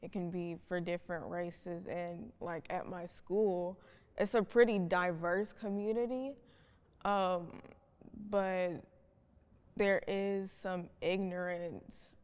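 Teenage female student panelist, lowish smooth voice, casual halting delivery, echoey hall. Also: steady tone, darkish tone. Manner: informal, halting. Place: stage in a reverberant hall. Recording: echoey hall with long reverb.

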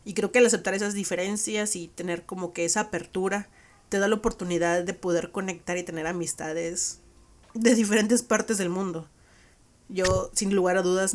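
A woman with a Mexican accent hosting a podcast, recapping a conversation in a reflective, conversational way. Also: fairly low pitch. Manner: reflective, conversational, frequent "¿no?" tags. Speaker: podcast host.

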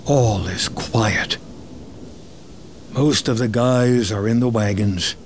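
A man's voice: fairly low pitch, slow pace, a little hiss or hum. A man reading scripted screenplay narration as the narrator of a drama.

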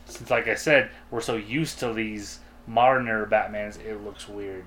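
A man's voice: fairly low pitch, steady tone, moderate pace, a bit bright, slight background noise. A man from California co-hosting a podcast in casual, joking, profane group banter. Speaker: podcast co-host.

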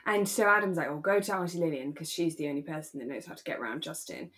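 A woman co-hosting a relaxed, chatty podcast, telling stories in a playful, jokey and animated way. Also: low-pitched, fast. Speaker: podcast co-host. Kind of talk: relaxed casual chat about a radio drama.

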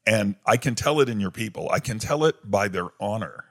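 Gravelly low-voiced pastor hosting a podcast. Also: male voice, animated, a little fast, no echo, studio quality. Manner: warm rambling, frequent fillers and restarts. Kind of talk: casual conversational interview.

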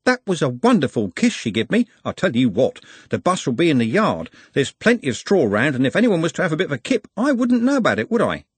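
Casting: cheery, worldly coach driver, wry patter, swinging pitch, voiced by a man. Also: wildly swooping pitch, fast, few pauses, husky, no echo, exaggerated voice. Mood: cheerful. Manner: chatty and wry, with a raised, bright delivery. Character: cheerful, worldly middle-aged male night-bus driver.

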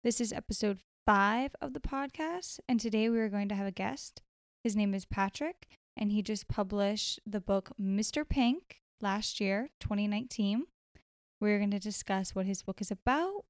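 A woman's voice: medium pitch, no echo, studio quality. A woman reading a scripted podcast introduction plainly. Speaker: podcast host.

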